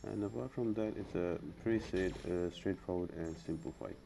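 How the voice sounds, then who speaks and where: A man's voice: low-pitched, normal speed. A man hosting a gaming guide, explaining a walkthrough step by step and addressing viewers directly in an instructional way. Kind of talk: explanatory game walkthrough commentary. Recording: clearly noisy background.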